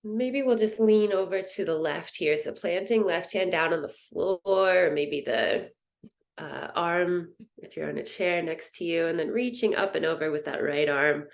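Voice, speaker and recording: A woman teaching a guided meditation in a soothing, gentle, unhurried manner. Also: lowish voice, gravelly. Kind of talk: guided yoga nidra meditation.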